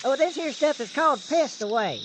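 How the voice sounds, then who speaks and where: An actor playing an excitable, grumbling backwoods man with a folksy Southern US accent in a comic radio drama. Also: very high voice, fairly quick, background noise. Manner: folksy, colorful, drawling hick talk. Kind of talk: old-time radio comedy drama acting.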